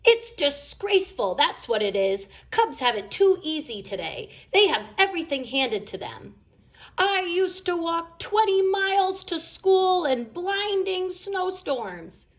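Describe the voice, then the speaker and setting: A woman's voice: very high voice, sing-song, unhurried, pitched above the performer's own voice, full character voice. A woman voicing a blustery, scolding father bear in a storybook read-aloud, with an indignant, theatrical manner. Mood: grumbling indignation. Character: blustery grown-up Papa Bear, as cubs mimic him in a play.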